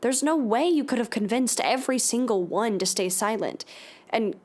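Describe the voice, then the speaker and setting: A woman's voice: a little high, animated, moderate pace, darkish tone. A woman acting as an insistent, anxious podcast host questioning a witness in an audio drama. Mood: incredulous, probing. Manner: probing, urgent questioning.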